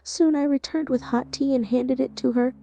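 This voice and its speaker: High gravelly woman, mellow, earnest, narrating a horror story over soft music. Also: fairly even intonation, normal speed, a little hiss or hum. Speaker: narrator and lead character.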